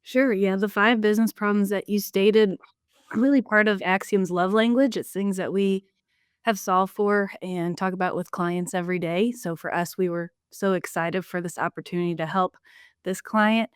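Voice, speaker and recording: Smooth-voiced woman hosting a business podcast. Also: mid-range voice, fairly even intonation, normal speed, dry recording, studio quality. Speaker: podcast host.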